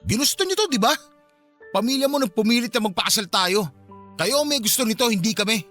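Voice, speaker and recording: A bitter young husband in a radio drama, scornful and accusing. His voice is high and tense, and he fires his lines off quickly over a music bed. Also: animated, a bit bright. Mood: mocking, accusing. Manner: dismissive, accusing. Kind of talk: Filipino radio drama acting.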